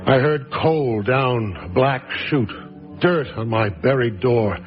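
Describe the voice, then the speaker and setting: A man's voice: mid-range voice, expressive, slow pace. A man acting in a radio drama as a once-celebrated writer, telling his story in a florid, genial, theatrical manner. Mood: dramatic recounting. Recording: dull lo-fi radio recording with music behind.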